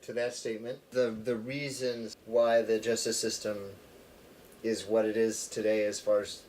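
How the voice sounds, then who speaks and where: A man's voice: lowish voice, flat delivery, moderate pace, a little mellow. A man working as a radio documentary narrator and interviewer. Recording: clear vehicle and ambient noise.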